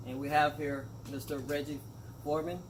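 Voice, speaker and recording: A man's voice: a little high, level tone, moderate pace. A man interviewing a guest, who often affirms with "okay, okay" and phrases his questions loosely, restarting as he goes. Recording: noisy background.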